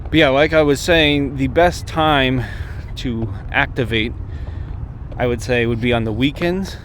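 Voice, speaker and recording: A man's voice: medium pitch, a little slow, fairly bright tone. A man in his thirties with an American accent, chatting casually to viewers as he gives instructional tips into a camera held at arm's length. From United States. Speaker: amateur radio vlogger. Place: outdoors, open park path beside water. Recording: outdoor recording with traffic and machinery noise. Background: jets, vehicles, urban outdoor noise.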